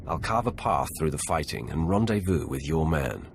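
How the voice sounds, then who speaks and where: An actor voicing a menacing, calm Sith warrior in a video game, commanding and deliberate. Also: male voice, slight background noise, heavily acted. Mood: cool, commanding. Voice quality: deep, gravelly. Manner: deliberate, commanding, coolly confident.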